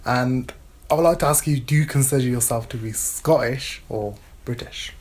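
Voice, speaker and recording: A man's voice: mid-range voice, moderate pace, darkish tone. A man interviewing as a student, asking questions and offering short acknowledgements. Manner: brief, encouraging acknowledgements.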